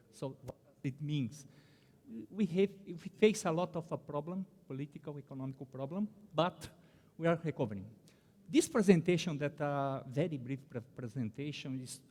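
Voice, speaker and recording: A man from Brazil, a native Portuguese speaker, giving a conference presentation in English with a Brazilian accent, halting with many fillers and restarts. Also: a little high, a little mellow, long reverb tail. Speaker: conference panelist. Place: conference hall.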